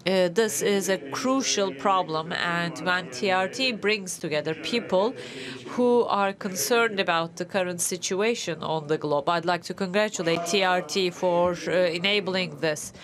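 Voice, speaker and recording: A woman working as a simultaneous interpreter, rendering a formal speech into English with a measured delivery. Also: on the low side, a crowd in the background.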